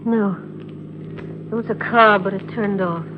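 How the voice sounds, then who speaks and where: An actress playing an anxious, devoted young bride, earnest and pleading in old-time radio style. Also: female voice, mid-range voice, a little slow, background noise, lightly acted. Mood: urgent. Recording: dull vintage radio recording, music behind.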